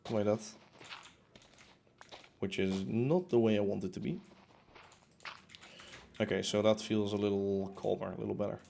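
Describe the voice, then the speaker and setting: A man's voice: on the low side, fairly even intonation, normal speed, vocal fry, pauses often, dark tone, a little hiss or hum. A young man streaming from his apartment as he builds in a game, chatting casually and rambling with lots of fillers and restarts. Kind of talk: casual chat while building. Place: home room in a concrete apartment.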